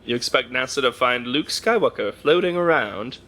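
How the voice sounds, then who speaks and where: A man's voice: medium pitch, animated, normal speed, pushed and bright. A man playing a skeptical, grumbling radio co-host in an audio drama, blunt and sardonic. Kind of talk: radio drama, conversational broadcast banter.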